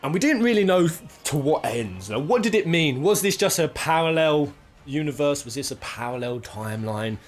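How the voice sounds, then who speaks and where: A man's voice: a little high, lively intonation, normal speed, soft background music. A British man giving casual, jokey top-ten video game commentary, rambling and thinking aloud as he goes. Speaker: video game countdown host.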